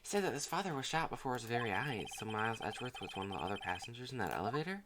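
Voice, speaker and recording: A woman's voice: rumbling low, very animated, rapid-fire, background noise. A woman voicing a serious, pensive male defense lawyer as she reads video game dialogue.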